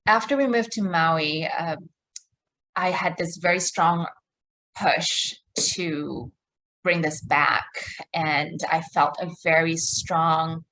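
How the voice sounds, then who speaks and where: Slow, soft-spoken woman from Malaysia. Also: low voice, steady tone, rough recording. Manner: slow, thoughtful, with pauses and fillers. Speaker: guest, co-creator of a womb garden. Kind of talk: podcast interview, reflective storytelling.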